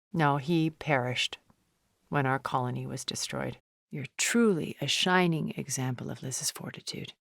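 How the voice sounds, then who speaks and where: An actress playing a poised, cultured, wealthy woman in a video game, speaking in a measured, formal, refined way. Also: a bass voice, gravelly, dry recording, clean studio sound. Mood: composed. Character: poised, cultured, wealthy woman, a thousand years old, sounding middle-aged. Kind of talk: video game character dialogue.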